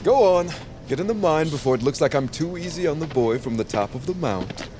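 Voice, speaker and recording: A man playing a gruff, sardonic mine foreman in a fantasy audio drama, brusque and commanding. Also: a little high, animated, brisk, over music, close to an everyday voice.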